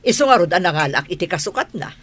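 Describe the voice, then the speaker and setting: A woman's voice: fairly low pitch, big pitch swings, quick pace, a bit bright. A woman with an Ilocano accent playing a suspicious, quarrelsome wife in a radio drama, confrontational and exclamatory. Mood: indignant. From Philippines.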